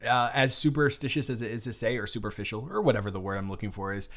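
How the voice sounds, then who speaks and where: A man's voice: fairly low pitch, fast talker, fairly bright tone. A man playing a protective, smitten young friend in an intimate improvised audio roleplay, sincere and flustered, stammering and restarting his phrases. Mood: reluctant, disapproving.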